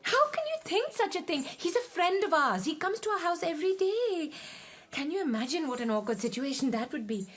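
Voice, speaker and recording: High-voiced young wife. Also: female voice, a little fast. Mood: flustered, protesting. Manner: pleading, girlish, emotionally expressive. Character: young Indian wife, playful, coaxing, anxious and secretive. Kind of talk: radio drama acting.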